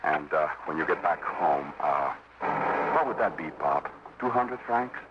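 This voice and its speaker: An actor playing a homesick young soldier in a 1950s radio drama, halting and earnest. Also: male voice, extremely low pitch, lively intonation. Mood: wistful, hesitant. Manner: halting, trailing off. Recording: muffled, noisy 1950s radio broadcast.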